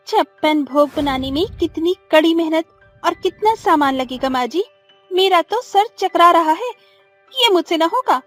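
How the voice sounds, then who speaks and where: Very high young daughter-in-law, acted by a woman, sharp-tongued, dramatic, cartoon, light music behind. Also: lively intonation, a little slow, full character voice. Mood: dismayed. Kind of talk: Hindi cartoon drama acting.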